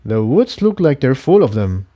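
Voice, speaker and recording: A man's voice: medium pitch, sing-song, normal speed, warm tone. A man voicing a practical, protective young male pony adventurer in a storybook reading, plain and advising. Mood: encouraging, careful.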